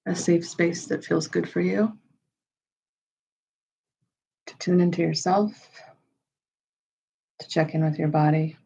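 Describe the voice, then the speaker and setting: A woman leading a guided meditation in a slow, soothing style, with measured, unhurried phrases and pauses. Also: on the low side, steady tone, creaky, mellow, husky, rough recording.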